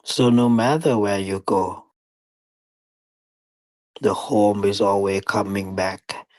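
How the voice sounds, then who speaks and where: Slow, low-voiced man guiding meditation. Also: steady tone, a little mellow, dry recording, low-quality recording. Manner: deliberate, halting phrasing with long pauses. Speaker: meditation teacher. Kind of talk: guided meditation dharma talk.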